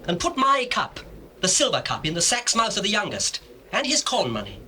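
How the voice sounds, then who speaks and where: An actor playing a lordly, commanding governor of Egypt in a dramatized scripture, declaiming formal archaic speech imperiously. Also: male voice, sits high, huge pitch swings, normal speed, pushed and bright, heavily acted. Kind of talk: dramatized scripture, stage acting. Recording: noisy old cassette tape.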